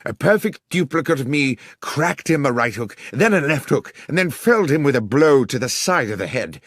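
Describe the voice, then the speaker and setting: A man reading a detective audiobook as a dramatic first-person narrator, expressive and full of suspense. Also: mid-range voice, moderate pace, no room sound, clean studio sound.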